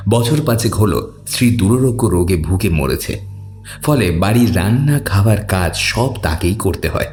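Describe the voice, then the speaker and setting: Very deep, lively male storyteller. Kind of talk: scripted narration of a horror audio story.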